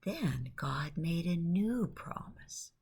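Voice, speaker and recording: A woman narrating a guided bedtime story in a hushed, soothing way, with long pauses and a breathy, near-whispering voice. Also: fairly low pitch, big pitch swings.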